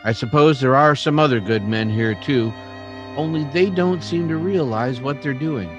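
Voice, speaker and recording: A man acting a grave, indignant Old West townsman in an old-time radio western, speaking earnestly and with deliberate emphasis. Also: medium pitch, lo-fi sound, faint music behind, close to an everyday voice. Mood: grave, impassioned.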